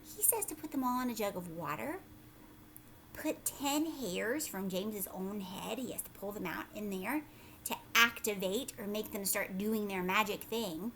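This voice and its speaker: A woman teacher doing a children's read-aloud, expressive and conversational, often putting questions to her listeners. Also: medium pitch, normal speed, a little hiss or hum.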